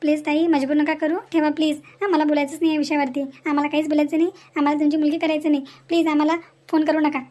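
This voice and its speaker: A woman's voice: sits high, little pitch movement, rapid-fire, darkish tone, lightly acted. A woman voicing a haughty groom's mother in an amateur cartoon, curt and reproachful. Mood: dismissive.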